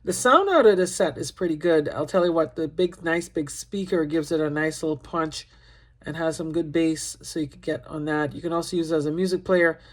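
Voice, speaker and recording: A woman with a somewhat low voice talks through a radio review at home. She is relaxed and chatty, with plenty of ums as she goes over the pros and cons. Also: brisk. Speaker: ham radio channel host reviewing a radio. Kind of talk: casual product demonstration. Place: at home by a window.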